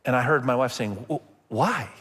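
A man who grew up in Indonesia, preaching a sermon as pastor in a conversational storytelling way, with emphatic pauses. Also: medium pitch, expressive, fairly bright tone, husky. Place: church sanctuary. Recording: long hall reverb.

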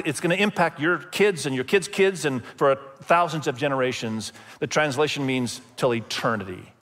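A man who grew up in Indonesia, preaching a sermon as pastor in a conversational storytelling way, with emphatic pauses. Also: on the high side, fairly bright tone. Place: church sanctuary. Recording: long hall reverb.

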